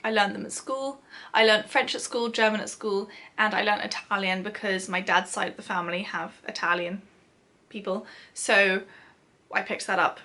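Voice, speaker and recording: A woman's voice: medium pitch, fairly even intonation, normal speed, bright, forceful tone. A young British woman chatting casually as a vlogger, self-deprecating and giggly as she answers questions. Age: young adult. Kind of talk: casual chat to camera, answering a question list.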